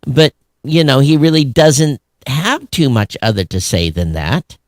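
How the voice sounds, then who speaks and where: A man's voice: mid-range voice, expressive, measured pace, no echo, clean studio sound. A man talking casually and bluntly as a podcast co-host about his industry.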